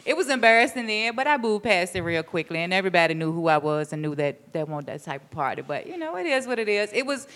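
A middle-aged grandmother, guest on a radio talk show, telling stories in a lively, preachy way. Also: on the low side, quick pace, hardly any pauses. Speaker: guest co-host. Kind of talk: casual radio chat.

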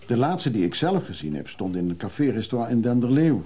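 A modest middle-aged antiques hunter in a radio play. His voice is husky and dark at a medium pitch. He sounds wistful and low-key, thinking aloud with small hesitations. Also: big pitch swings, fairly quick. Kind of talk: naturalistic radio drama dialogue.

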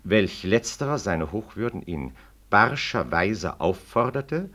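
An actor playing a pompous, self-righteous medical professor in a 1960s radio play, formal and declamatory. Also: male voice, lowish voice, sing-song, slow pace, sharp tone, full character voice. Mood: declamatory, pointed.

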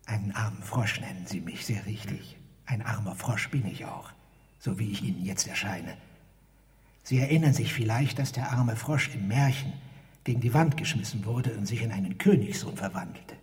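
An actor playing a meek, wheedling garage attendant in a radio drama, with wordy, formal pleading that often drops toward a breathy whisper. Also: male voice, on the low side, normal speed, darkish tone, lightly acted. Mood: quietly insinuating. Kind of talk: theatrical radio drama dialogue. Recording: reverberant hall-like space.

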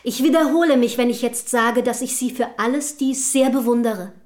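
An actress in a German historical radio drama playing an earnest, outspoken early feminist from the city, speaking formally and rhetorically. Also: female voice, fairly high pitch, moderate pace, darkish tone, lightly acted. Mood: fervent, admiring.

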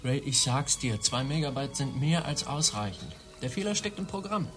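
An actor playing an eager know-it-all regular who keeps chiming in, in a radio play. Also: male voice, mid-range voice, very animated, normal speed, a bit bright, soft background music.

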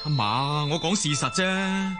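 A man plays a loyal, disbelieving young grandson in a radio drama. His voice is on the high side and earnest, with music playing behind. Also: unhurried, close to an everyday voice. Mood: defensive.

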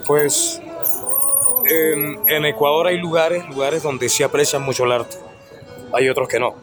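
A man in his twenties from Venezuela, a street singer answering interview questions in a relaxed, halting way with a low, slightly hoarse voice. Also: music in the background. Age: 20s. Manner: halting, restarts and fillers, relaxed.

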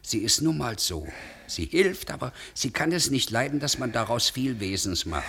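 An actor playing a gruff, impatient man minding a sick stranger in a 1950s radio play, brusque and dismissive. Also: mid-range voice, big pitch swings, normal speed, fairly bright tone, slight background noise.

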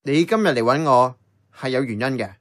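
A man's voice: mid-range voice, brisk, fairly bright tone, natural voice. An actor with a Hong Kong Cantonese accent playing a casual, blunt young man in radio drama dialogue. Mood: guarded.

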